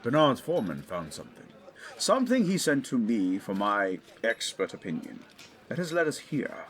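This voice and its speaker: An actor playing a learned, eager 1920s English archaeologist and father in an audio drama, middle-aged, speaking in a formal, professorial, deliberate way. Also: medium pitch, huge pitch swings. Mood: scholarly. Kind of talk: scripted audio drama acting.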